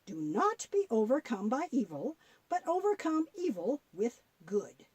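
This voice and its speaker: A woman's voice: fairly high pitch, very animated, measured pace, a little mellow, breathy edge, dry recording, heavily acted. A woman playing a kindly, folksy grandmother who patiently tells Bible stories in a children's audio drama. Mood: gentle, earnest.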